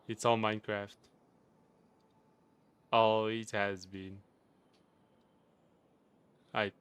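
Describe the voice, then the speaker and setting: A man's voice: on the low side, a bit bright, smooth. A man with a Portuguese accent, casually chatting with viewers on a game live stream, rambling and chuckling often.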